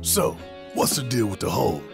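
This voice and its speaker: Huge angry gruff game rebel, blunt, gravelly, big echoey hall w/ music. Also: male voice, lowish voice, animated, moderate pace. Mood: suspicious. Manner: blunt, combative. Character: big, hot-tempered, distrustful male resistance fighter. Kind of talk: video game cutscene acting.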